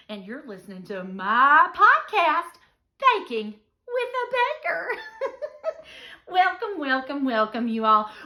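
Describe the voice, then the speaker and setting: An actress puts on a perky baking podcast hostess with theatrical, folksy emphasis. Her voice is bright and forceful, pitched up and wildly animated. She presents every line exuberantly, as a show. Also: heavily acted. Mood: gushing, cheerful. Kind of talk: podcast host monologue.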